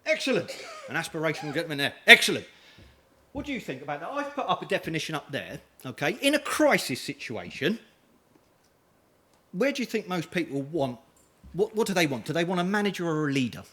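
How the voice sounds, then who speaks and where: Lively energetic male conference speaker. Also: a little high, a little fast. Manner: energetic, asks the audience questions. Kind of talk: conference talk with audience questions. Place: conference hall.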